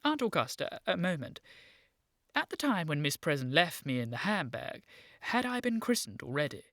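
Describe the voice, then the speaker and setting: An earnest young gentleman in a comic radio play. The voice is lowish and closely recorded, formal and urgent, prone to stammer when agitated. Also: sing-song, moderate pace, no room sound, studio quality, full character voice. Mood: anxious, resolute. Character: earnest, excitable young gentleman seeking his origins. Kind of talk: radio play acting, period comedy.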